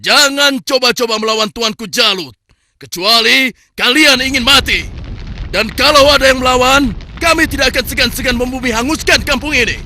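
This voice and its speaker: A man's voice: very high-pitched, normal speed, bright, forceful tone, no echo, full character voice. A man voicing an arrogant male army commander in a cartoon, who barks orders and decrees. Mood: commanding. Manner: barking orders, proclamatory. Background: music.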